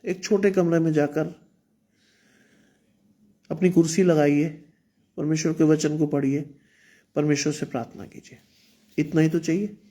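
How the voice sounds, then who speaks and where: High-voiced preacher man with sore throat. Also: darkish tone. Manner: earnest, exhorting, repeats phrases. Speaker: pastor. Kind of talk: online sermon.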